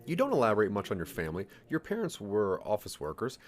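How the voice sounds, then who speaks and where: A man's voice: fairly low pitch, wildly swooping pitch, fast talker. A man narrating a scripted second-person story as the narrator of an audio drama.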